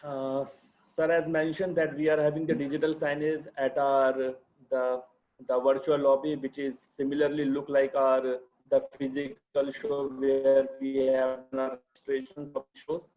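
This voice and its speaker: A man's voice: medium pitch, completely flat delivery, moderate pace, a little mellow, slight background noise, poor audio. A man with an Indian English accent giving a guided demonstration of a web platform, speaking deferentially to the host. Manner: deferential, calls the host sir.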